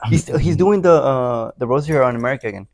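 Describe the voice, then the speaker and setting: A man's voice: mid-range voice, fast, vocal fry, a crowd in the background. A young man co-hosting a casual chat, giving brief, laid-back replies. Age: young adult. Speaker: podcast co-host.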